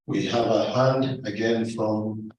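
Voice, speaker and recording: A man moderating an academic meeting in an unscripted, formal way, courteous and deliberate, with some halting as he goes. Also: lowish voice, fairly even intonation, a little mellow. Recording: distant, very echoey room, faint noise.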